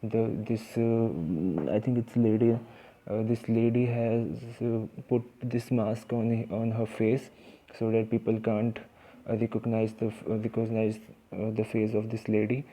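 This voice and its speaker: A man's voice: on the low side, little pitch movement, a little slow, warm tone, poor audio. A man with an Indian accent teaching English vocabulary in a casual, hesitant way, with many fillers and restarts. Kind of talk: informal vocabulary lesson.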